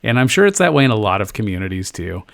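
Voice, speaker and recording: A man with a low, gravelly voice chats casually as a podcast co-host. He swears freely, jokes, and drifts into fillers and restarts. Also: very animated, fast talker, a bit bright. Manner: profane, joking, trailing off into fillers. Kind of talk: casual chat.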